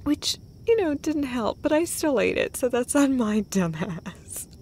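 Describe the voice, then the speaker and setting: A woman playing a tender, emotional, self-deprecating girlfriend in an intimate romantic audio roleplay, speaking in halting, breathy phrases. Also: sits high, big pitch swings, darkish tone, slight background noise, full character voice. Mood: amused, fond. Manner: halting, breathy phrases broken by small laughs.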